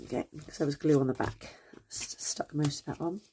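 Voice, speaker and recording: A woman with a slightly croaky voice, chatting casually through a craft tutorial with lots of ums and self-corrections. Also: sits low, fairly even intonation, fairly quick, mellow, slight background noise. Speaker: crafting channel host.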